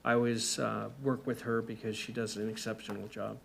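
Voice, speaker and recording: Gravelly man chairing a council meeting. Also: on the low side, level tone, fairly bright tone, slight background noise. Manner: halting, many "uh" fillers.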